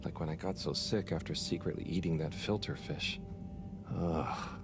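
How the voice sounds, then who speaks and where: An actor voicing a gentle, anxious young man in a game, speaking softly and hesitantly. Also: low voice, normal speed, background noise. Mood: worried. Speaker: game character.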